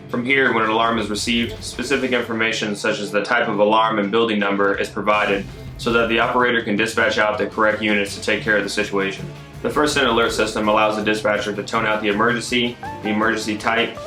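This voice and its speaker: Man, low voice, explainer narration, informal asides, music behind. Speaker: military fire dispatcher. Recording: echoey room, music behind.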